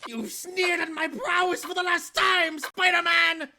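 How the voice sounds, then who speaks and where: Comic-book villain, very high strained pitch, gravelly edge, menacing, theatrical, furious. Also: male voice, fairly even intonation, a little slow, heavy background noise, full character voice. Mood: gloating rage. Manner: shouting, melodramatic taunts. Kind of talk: comic dub acting.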